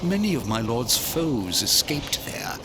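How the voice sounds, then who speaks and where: A man's voice: medium pitch, big pitch swings, a little slow. An actor playing a haughty, scheming chancellor to a dead king in a video game, pompous, theatrical and condescending. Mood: sly, theatrical. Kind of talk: video game cutscene acting. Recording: loud cinematic music behind.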